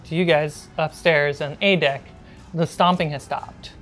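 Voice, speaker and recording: Woman running a spooky tabletop game, kinda low voice, pauses while she narrates, bit of music under her. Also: steady tone, fairly bright tone. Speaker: game master. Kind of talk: running a tabletop horror role-playing game. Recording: background music behind the voice.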